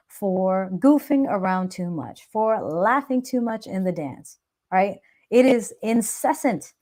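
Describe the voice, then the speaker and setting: A woman hosting a live chat, talking earnestly and reflectively about self-doubt and growth, often checking in with a "right?". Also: mid-range voice. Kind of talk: motivational live stream talk.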